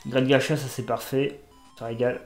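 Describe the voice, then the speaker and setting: A man with a cold chats loosely over his gameplay, joking, muttering to himself and teasing the game's characters. His voice sits at an ordinary middle pitch and rings in an echoey room, with faint music behind him. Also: flat delivery, normal speed. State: has a cold. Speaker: gaming YouTuber. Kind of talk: casual let's-play commentary.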